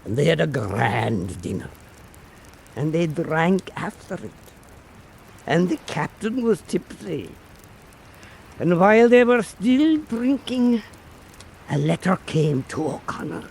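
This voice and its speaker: A man's voice: sits high, gravelly, a little mellow, slight background noise. A man narrating a scripted folk tale as a radio storyteller.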